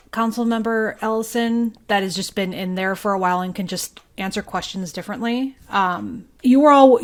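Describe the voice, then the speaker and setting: A woman with a medium-pitched voice chats on a podcast. She speaks as a familiar co-host, in an informal, conversational style. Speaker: recurring podcast co-host and local journalist. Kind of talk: casual conversational podcast chat.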